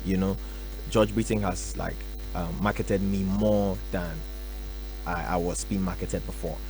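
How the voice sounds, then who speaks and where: A young man from Ghana, a musician answering radio interview questions in a relaxed, soft-spoken way with many fillers. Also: sits low, a little slow, soft background music, rough recording. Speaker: musician guest. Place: radio studio.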